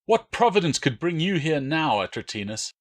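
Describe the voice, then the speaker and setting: Man playing a cruel, sneering Roman soldier, raised pitch. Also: very animated, normal speed. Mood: sneering accusation. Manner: sneering, accusing, forceful. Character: large, cruel, vengeful Roman soldier, hot-tempered bully.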